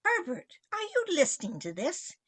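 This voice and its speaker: A woman's voice: sits high, wildly swooping pitch, normal speed. A woman playing a haughty, domineering older mother in a comic radio play, with an imperious, disdainful delivery. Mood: disapproving, haughty. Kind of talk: comic old-time radio play acting.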